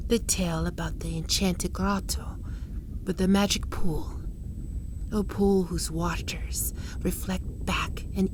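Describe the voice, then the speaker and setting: A woman's voice: lowish voice, noisy background. Woman whispering as Arachne, spider temptress. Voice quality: slow, breathy whispering. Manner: taunting, teasing questions. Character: predatory spider-woman Arachne, sly and toying. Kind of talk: ASMR roleplay.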